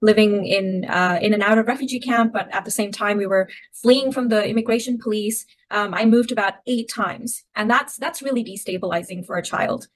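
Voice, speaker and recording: A woman's voice: mid-range voice, normal speed, few pauses. A young woman from Myanmar, raised in Thailand, speaking as an activist guest in a podcast interview, reflective and often restarting her phrases. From Myanmar (Rohingya from Arakan), raised in Thailand. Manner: reflective, many restarts and "you know". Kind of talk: personal storytelling in an interview.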